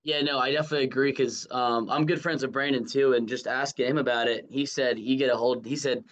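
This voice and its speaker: A man's voice: medium pitch, fairly even intonation, quick pace, fairly bright tone, muffled recording. A man with a middle-range voice interviews as a sports reporter. His questions are chatty and informal, wandering a little before they land. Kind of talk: casual, conversational interview questions.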